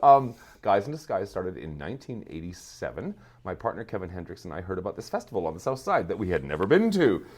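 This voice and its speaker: Fast, animated low-voiced Canadian man. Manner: wry, teasing. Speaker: podcast guest, theatre artist. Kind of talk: casual chat.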